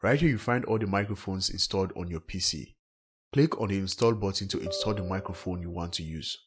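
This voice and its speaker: A man with a low-pitched, slightly rough voice guides listeners through a software tutorial. He speaks in a scripted, step-by-step way as a tech host. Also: a little fast.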